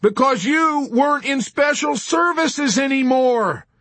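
A man's voice: very high-pitched, expressive, unhurried, a bit bright, no room sound, voice pushed up, heavily acted. A man voicing an angry, foul-mouthed ex-sergeant who shrieks abuse down the telephone in an audiobook. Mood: furious. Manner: shrieking, abusive.

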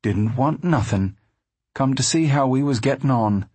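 A man's voice: medium pitch, measured pace, a little mellow, husky, no echo. A man voicing a plain-spoken, protective farm mother in a storybook. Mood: plain, casual. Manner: folksy, firm.

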